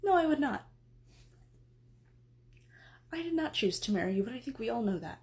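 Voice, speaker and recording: A woman voicing an exasperated goddess who scolds her husband, swinging between sharp outbursts and weary pleading. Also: fairly high pitch, big pitch swings, normal speed. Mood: frustrated. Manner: scolding, then pleading and tearful. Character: exasperated, emotional goddess wife.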